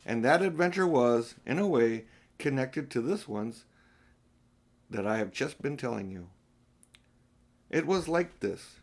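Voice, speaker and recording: Older male reader for a children's audiobook, medium pitch, read-aloud storytelling with the odd restart. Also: expressive, measured pace, pauses often.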